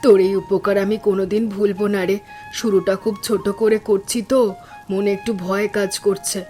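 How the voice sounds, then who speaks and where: A woman voicing a resolute, hopeful poor village mother in an animated cartoon story, earnest and encouraging. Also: mid-range voice, fairly quick, faint music behind, lightly acted. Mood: anxious, pleading.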